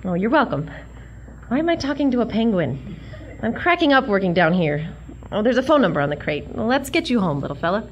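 A woman's voice: medium pitch, very animated, a little fast, highs cut off, a little hiss or hum, close to an everyday voice. A woman playing a plucky, wisecracking young museum worker in a comic radio drama, perky and sassy. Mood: tender, chatty.

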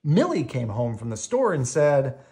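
A storybook reader giving an expressive bedtime read-aloud in a theatrical, playful way with pauses. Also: medium pitch.

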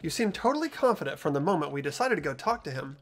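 A man's voice: on the high side, very animated, fast talker, soft background music. A man voicing an earnest, anxious teenage boy in a fan-voiced visual novel playthrough. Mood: amazed, unsure.

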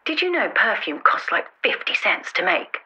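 A woman's voice: medium pitch, sing-song, moderate pace, pushed and bright, husky, full character voice, poor audio. A woman playing a pushy, upbeat branding promoter in a comedy audio drama. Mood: eager, selling.

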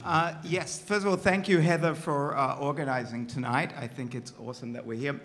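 A man's voice: mid-range voice, steady tone, brisk, a bit bright, big-room sound. A man from Sydney, Australia, introducing himself on an animation panel with self-deprecating jokes. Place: gallery stage before a large audience. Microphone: table mic.

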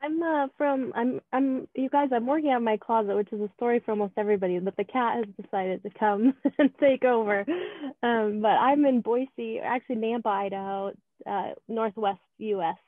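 A woman's voice: a little high, normal speed, dry recording. A woman chatting casually on a video call from a home closet. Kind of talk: casual chat. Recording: tinny phone-like call audio.

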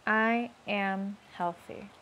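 A woman speaks at an easy middle pitch, very slow and measured. She guides listeners through self-affirmations, repeating each line twice. A faint outdoor hush sits under her voice. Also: fairly even intonation, slight background noise. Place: outdoors on a rainy day.

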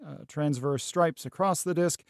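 A man playing a self-assured, dismissive astronomy professor, speaking in a measured, formal, scholarly way in a 1930s-style radio drama. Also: a little high, creaky, no echo, clean studio sound.

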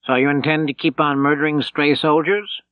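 A man's voice: on the high side, little pitch movement, normal speed, no echo, pitched above the performer's own voice, close to an everyday voice. A man voicing a frightened, doubting villager in an audiobook, tense and challenging. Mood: fearful, challenging. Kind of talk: audiobook character dialogue. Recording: dull, slightly lo-fi tape recording.

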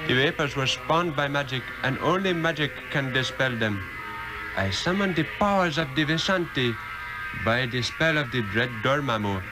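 An actor playing an ancient, grave master of magic in a radio drama, speaking solemnly and formally. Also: male voice, medium pitch, expressive, moderate pace, over music, lightly acted, rough recording. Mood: commanding. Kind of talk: 1960s-era radio drama acting.

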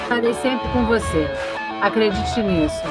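A woman's voice: on the high side, animated, a little slow, over loud music. A woman playing a devoted, probing girlfriend in an audio drama. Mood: tender, probing.

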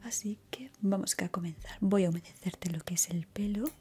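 A young woman whispering softly and breathily in a slow, soothing ASMR roleplay as a barber. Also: medium pitch, breathy edge. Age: young adult.

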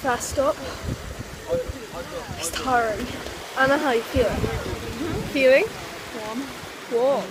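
A woman's voice: sits high, slow pace, long pauses. A young woman chatting to the camera as a vlogger, upbeat and talkative, often while hiking outdoors. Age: young adult. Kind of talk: casual vlog chat. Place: outdoors on a windy hillside and indoors. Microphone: phone held at arm's length. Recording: noisy phone recording.

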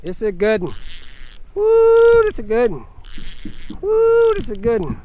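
A man's voice: extremely high pitch, huge pitch swings, measured pace, mellow, slight background noise. Whooping man fishing on a lake. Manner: boastful, teasing, whooping when fish pull. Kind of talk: casual banter while fishing. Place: outdoors on a pontoon boat on a lake.

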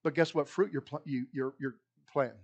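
A middle-aged man with a Kentucky accent preaching a lively, animated sermon in a small church hall on a handheld mic, often repeating himself as he paces the stage. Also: mid-range voice, a little fast. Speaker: pastor. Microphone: handheld mic, filmed by a far wide camera.

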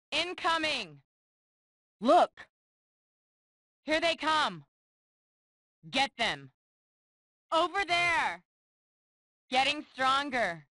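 An actress voicing a haughty, impatient superheroine in short video game combat lines, imperious and scornful with clipped delivery. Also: female voice, a little high, a bit bright, no echo, studio quality, heavily acted. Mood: urgent, commanding.

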